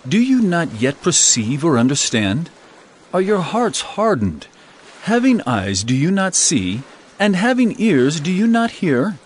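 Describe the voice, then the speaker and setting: A man's voice: on the high side, big pitch swings, unhurried, slight background noise. An actor playing an earnest male religious teacher in a dramatized scripture reading, with emphatic delivery. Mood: reproving.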